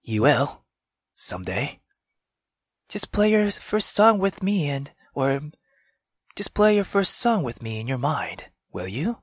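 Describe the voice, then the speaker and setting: A performer voicing a gentle, fatherly nobleman who speaks in a soft, reassuring way in a visual novel. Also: medium pitch, sing-song, slow, slightly rough, no room sound, clean studio sound, exaggerated voice. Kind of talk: visual novel character read aloud.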